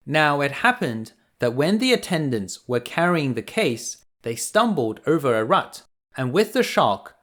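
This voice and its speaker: A man reading a fairy tale aloud to children as a bedtime storyteller, deliberate and expressive. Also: medium pitch.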